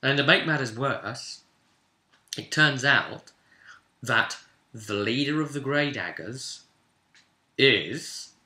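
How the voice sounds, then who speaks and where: A man with a very sharp, forceful voice tells the story of a tabletop role-playing session. He rambles conversationally, leaning on key words and quoting characters as he goes. The room adds a clear echo. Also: medium pitch, animated, slow, pauses often. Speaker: game master recapping his tabletop campaign. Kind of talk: informal retelling of a role-playing session, voicing characters' dialogue. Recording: roomy, echoing sound.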